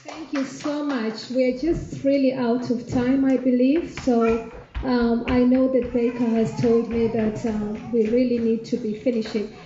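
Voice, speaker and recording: A woman's voice: fairly high pitch, flat delivery, a little slow, warm tone, slight background noise. A woman giving an encouraging, emphatic informal address to a community gathering. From Africa. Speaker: speaker closing a community event. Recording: muffled, echoey room recording.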